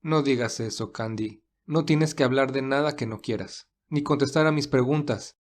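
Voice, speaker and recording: Man acting as a gentle, concerned protector. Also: mid-range voice, moderate pace, natural voice. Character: gentle, caring, protective man comforting a friend.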